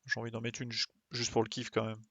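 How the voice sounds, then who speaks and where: A man with a creaky, gravelly voice streams a video game. He thinks aloud casually, muttering to himself and dropping in little playful sound effects. The sound is close and dry, with no room around it. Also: mid-range voice, monotone, quick pace, fairly bright tone, no room sound, muffled recording. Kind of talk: casual live gameplay commentary.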